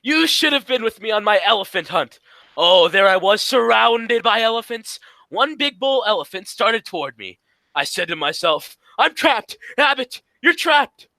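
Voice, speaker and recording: A woman's voice: fairly high pitch, lively intonation, moderate pace, pushed and bright, no room sound. A woman playing a blustery, exasperated male straight man in an old-time radio comedy, scolding and repeating phrases emphatically. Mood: boastful.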